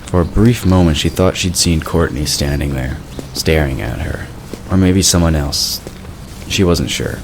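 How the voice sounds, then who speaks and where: A man's voice: rumbling low, unhurried. A man reading scripted narration for a fantasy audio drama. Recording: clear background noise.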